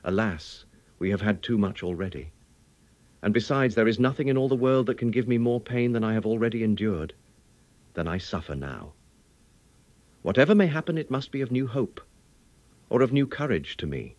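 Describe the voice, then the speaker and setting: A man's voice: on the low side, lively intonation, normal speed, pauses often, darkish tone, no room sound, dull audio, close to an everyday voice. A man voicing a brave, sorrowful young married woman in a storybook, with solemn, plaintive, formal Victorian speech. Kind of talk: dramatic audiobook character reading.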